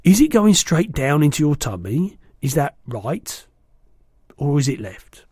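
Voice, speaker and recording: A man's voice: a little high, sing-song, a little slow, a little mellow, breathy edge, no echo, clean studio sound, full character voice. A man voicing a big, friendly old giant in a storybook, exuberant and indignant, exclaiming emphatically in muddled words and grammar. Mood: explaining.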